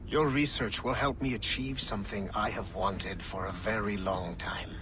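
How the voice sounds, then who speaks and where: An actor playing a solemn, controlled man steering a mission in a video game, measured and formal, over music. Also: on the low side, fairly even intonation, noisy background, close to an everyday voice. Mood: grave, confiding. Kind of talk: dramatic video game dialogue.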